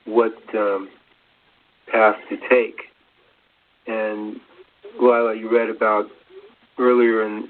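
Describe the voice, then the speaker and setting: Slow, flat-voiced man, halting delivery. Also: lowish voice. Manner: halting, hesitant, searching for words. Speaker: co-presenter. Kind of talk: unscripted spiritual explanation. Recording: dull lo-fi radio sound, faint noise.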